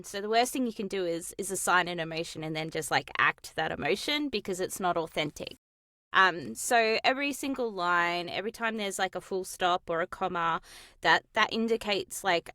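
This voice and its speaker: A woman talks casually in short, animated bursts. Her voice is fairly low yet clearly bright and forward. The sound is close and dry, as in a voice booth. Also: no echo. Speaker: voice actor and acting coach. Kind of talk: casual interview chat. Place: home or studio voice booth. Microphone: close studio mic in a recording booth.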